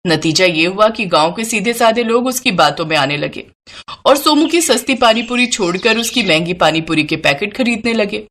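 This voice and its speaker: A woman narrating an animated moral story with an even storytelling delivery. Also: medium pitch, normal speed.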